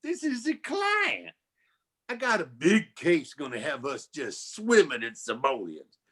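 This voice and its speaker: Man playing a bright-voiced, wisecracking noir detective. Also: on the high side, huge pitch swings, measured pace, husky, heavily acted. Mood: flustered, pleading. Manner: slangy, wry. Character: wisecracking hardboiled 1940s private detective, man, streetwise. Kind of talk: campy 1940s radio noir acting. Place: live community theatre stage performance.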